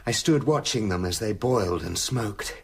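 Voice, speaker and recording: An actor playing a tormented scientist split between two selves in a radio drama, intense and dramatic. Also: male voice, on the low side, animated, moderate pace, vocal fry, breathy edge, heavily acted. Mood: confessional.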